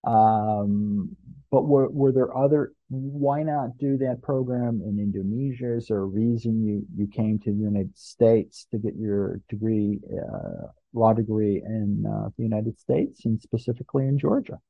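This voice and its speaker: A man's voice: on the low side, fairly even intonation, a little slow, gravelly, no room sound, narrow, tinny audio. A man hosting an interview podcast, introducing a guest and asking questions.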